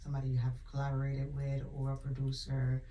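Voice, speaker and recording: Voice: mid-range voice, completely flat delivery, quick pace, dull audio. An interviewer asking short, casual questions with brief backchannels. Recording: echoey room with faint noise.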